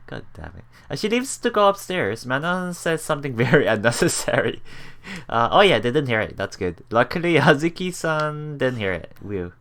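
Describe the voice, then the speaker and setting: A woman's voice: extremely low pitch, sing-song, normal speed. A woman doing a casual let's play, reading visual novel text aloud and reacting with giggly asides. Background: game music.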